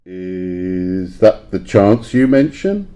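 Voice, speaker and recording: A man playing a grumpy, frightened old miser in an audio play, theatrical and shifting between gruff scorn and pleading. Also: low-pitched, very animated, extremely slow, mellow, highs cut off, full character voice. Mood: reluctant, grumbling. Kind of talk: library audio play acting.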